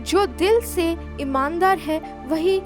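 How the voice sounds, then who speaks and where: A woman's voice: very high voice, takes it slowly, over music. A woman narrating a scripted children's story as a storyteller.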